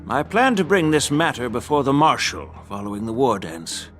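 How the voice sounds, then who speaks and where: A man playing a venerable elder strategist in a video game, speaking in a formal, deliberate, weighty way. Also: medium pitch, sing-song, fairly bright tone, faint music behind. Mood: grave, contemplative. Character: venerable elder statesman, measured, sagely strategist. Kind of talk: scripted video game dialogue.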